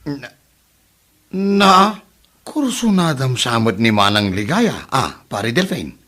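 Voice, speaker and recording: An actor with an Ilocano accent playing a teasing, complaining tricycle driver buddy in a radio comedy drama. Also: male voice, medium pitch, very animated, slow pace, a bit bright, full character voice.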